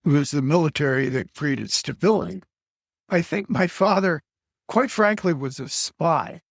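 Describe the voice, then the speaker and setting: An older man from Alaska answering interview questions slowly, pausing often with fillers and short of breath. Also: on the high side, vocal fry, dry recording, clean studio sound. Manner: halting, with many pauses and fillers. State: short of breath, on supplemental oxygen. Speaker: guest. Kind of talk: slow reflective interview answers.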